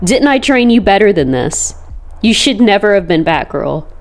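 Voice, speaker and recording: A woman's voice: medium pitch, big pitch swings, moderate pace, faint music behind. A woman playing an earnest, wry young superheroine in a dramatic comic dub, both speaking lines and narrating her anxious thoughts. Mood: reflective, pained.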